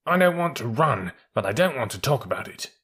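A man's voice: medium pitch, sing-song, a little fast, fairly bright tone, slightly rough, exaggerated voice. A man voicing a blunt, scornful male army mule in a storybook, dry and mocking in manner. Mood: gruff disdain.

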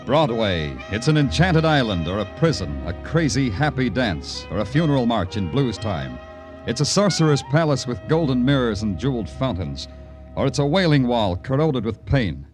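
An American actor playing a world-weary, tender-hearted city detective, narrating in a poetic, hard-boiled old radio crime drama style. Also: male voice, medium pitch, very animated, moderate pace, lightly acted. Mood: brooding. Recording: old radio recording with music.

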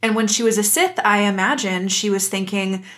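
Woman podcast co-host chatting, thoughtful and articulate, medium pitch. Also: normal speed, fairly bright tone. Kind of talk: conversational discussion of an animated series.

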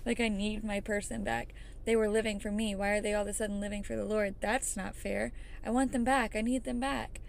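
Woman doing a grabby scheming enemy spirit, gravelly, whiny and hissy. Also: mid-range voice, little pitch movement, fairly quick, natural voice. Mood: needy, demanding.

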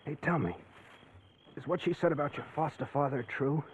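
A man's voice: fairly high pitch, brisk, natural voice. An actor playing a reluctant, hard-boiled young man in an old-time radio drama, with a brusque, slangy way of talking. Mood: wry, probing. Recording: tinny, noisy vintage radio recording.

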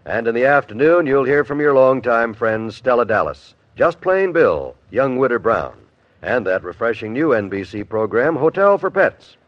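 Animated male old-time radio announcer. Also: mid-range voice, normal speed, no echo. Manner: polished, upbeat salesmanship. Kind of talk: 1950s network radio announcing, scripted. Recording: muffled old radio recording.

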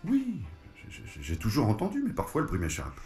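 An actor playing a flustered, exasperated barber in an audio drama, stammering and protesting as he tries to calm a friend. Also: mid-range voice, brisk, faint music behind. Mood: apologetic, exasperated. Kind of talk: French audio fiction acting.

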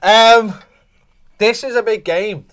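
An English man hosting a casual football podcast, joking and chatty, with a slightly gravelly voice. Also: sits high, slow. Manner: jokey, lively, drops into impressions. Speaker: podcast host.

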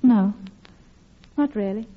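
A woman's voice: medium pitch, takes it slowly. An actress playing a guilty, lovelorn married Englishwoman in an old radio drama, with a clipped, genteel English accent and wistful restraint. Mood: subdued, sad. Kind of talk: old radio drama acting, first-person narration. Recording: muffled old radio recording, music behind.

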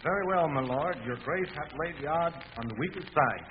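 A man's voice: fairly high pitch, animated, fairly quick, lightly acted. A man playing a formal young prince in an amateur radio Shakespeare scene. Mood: courtly. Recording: tinny, very noisy old radio.

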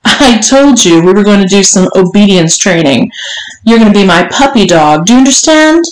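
A woman voicing a domineering, teasing, strict mistress in an audiobook, speaking in an imperious, deliberate way. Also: medium pitch, animated, natural voice. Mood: stern, commanding. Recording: roomy, echoing room sound.

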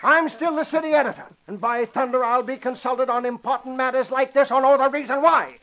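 High-pitched blustering old-radio newspaper editor. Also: male voice, fairly even intonation, brisk. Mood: indignant, blustering. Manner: blustering, indignant, emphatic. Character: irascible blustering male newspaper city editor. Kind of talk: 1940s radio drama acting. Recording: muffled vintage radio recording.

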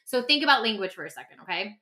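A woman speaking emphatically and conversationally as a podcast guest, explaining self-help ideas in an animated way. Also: medium pitch, racing. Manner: emphatic, acts out example dialogue. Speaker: podcast guest and author discussing their own book.

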